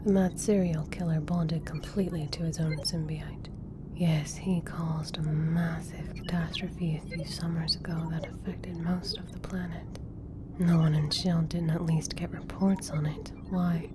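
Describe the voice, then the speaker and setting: A woman playing an intelligent, composed scientist in an ASMR roleplay, speaking in a measured, formal, clinical way. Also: deep voice, little pitch movement, background noise. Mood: analytical. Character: intelligent, composed female government scientist doctor.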